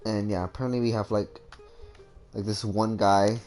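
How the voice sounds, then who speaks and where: Low-voiced young man, casual game commentary. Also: level tone, normal speed, a little mellow, soft background music. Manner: rambling, slangy. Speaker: gaming streamer.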